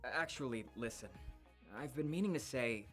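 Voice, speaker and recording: A man's voice: mid-range voice, normal speed, lightly acted. A man voicing an eager, goofy middle-school boy in a visual novel, with music behind him. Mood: earnest, nervous.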